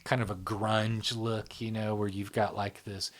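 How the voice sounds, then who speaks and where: A man's voice: fairly low pitch, little pitch movement, a little slow. A middle-aged man talking casually in an interview, rambling and often checking in with "right?" and "you know?". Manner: rambling, filler-heavy, keeps asking "right?" and "you know?". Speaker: psychology channel founder and host.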